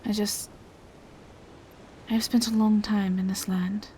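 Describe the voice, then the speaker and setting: Gentle vampire traveler, played by a woman: mid-pitched female voice, restrained melody, soft and courteous, audio drama with some ambient noise. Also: level tone, slow, lightly acted. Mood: wistful, hushed. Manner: soft-spoken, polite, hesitant. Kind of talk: roleplay audio drama addressed to the listener.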